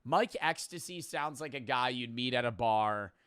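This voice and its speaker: Male reactor, swears and riffs jokes, bright and crisp, dry sound. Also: mid-range voice, normal speed, no room sound. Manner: excitable, swearing, riffing jokes. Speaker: streamer reacting to a video. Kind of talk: casual reaction commentary.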